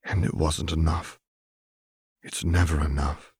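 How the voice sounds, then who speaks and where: A man voicing a serene, mysterious goddess disguised as a beggar woman, speaking quietly and gently in a narrated story. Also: very deep voice, little pitch movement, measured pace, breathy edge, dry recording, studio quality, full character voice. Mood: sorrowful.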